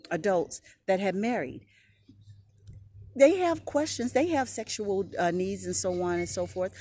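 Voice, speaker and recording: A woman's voice: on the low side, darkish tone. A middle-aged woman talking earnestly in a reflective personal vlog, recorded outdoors on a phone or camera, sniffling now and then. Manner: earnest, heartfelt, searching for words. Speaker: vlogger. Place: outdoors, airplane passing overhead.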